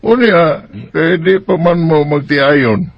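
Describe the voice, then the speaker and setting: A man's voice: a little high, slow, dry recording. A man acting a nosy Bisaya drinking buddy who prods with skeptical questions and interjections in a radio drama. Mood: teasing. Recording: dry, dull lo-fi recording.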